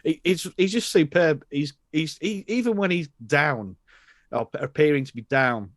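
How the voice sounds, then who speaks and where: A man's voice: on the high side, expressive, normal speed, dry recording, studio quality. A man with a Yorkshire accent chatting enthusiastically on a podcast, stumbling over restarts and swearing now and then. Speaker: podcast co-host. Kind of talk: casual chat.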